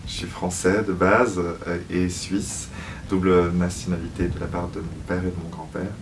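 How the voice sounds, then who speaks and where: A man with a low, fairly flat voice speaks reflectively in an interview. He hesitates often as he searches for words. The microphone sits at some distance from him. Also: normal speed, background noise. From Switzerland (Lausanne), born in Paris. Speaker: musical theatre actor, interview guest.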